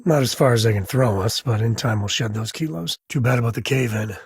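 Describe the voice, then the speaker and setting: A man playing an easygoing, wry frontier spacefarer and father in a video game, with a husky voice and folksy phrasing. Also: medium pitch, brisk, dry recording, clean studio sound. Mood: wry, casual. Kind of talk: acted video game companion dialogue.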